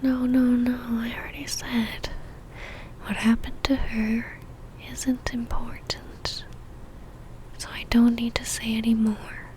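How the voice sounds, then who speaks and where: A woman playing an obsessive, seductive female vampire in a whispered ASMR roleplay, speaking intimately with a teasing, soft menace. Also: a little high, level tone, takes it slowly, low-quality recording. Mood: calmly menacing.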